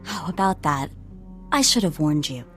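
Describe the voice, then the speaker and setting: A woman's voice: low-pitched, animated, normal speed, a bit bright, soft background music. An actress playing a scheming, self-obsessed young female criminal in a video game, with an intense, dramatic delivery. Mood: startled.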